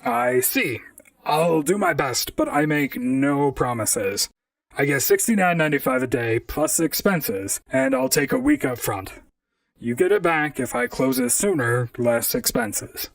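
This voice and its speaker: A man playing a wry, deadpan robot private detective in a noir audio drama, both narrating and talking to others. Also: fairly high pitch, unhurried, vocal fry, no room sound, distant mic, exaggerated voice. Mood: businesslike. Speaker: narrator and lead character.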